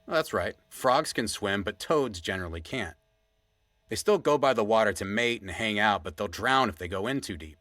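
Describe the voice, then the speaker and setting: A man acts as an earnest, rambling sidekick on a fictional podcast. His voice is lowish but bright and forward, recorded very dry, and he tells his odd facts like a digressive storyteller. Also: animated, moderate pace, no room sound. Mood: earnest, chatty. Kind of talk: conversational audio drama.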